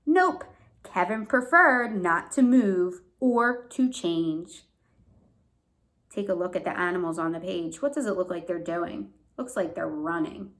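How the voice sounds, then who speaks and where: A woman reading a storybook expressively to young children, pausing to explain simply and ask questions. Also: medium pitch. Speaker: read-aloud teacher for young children.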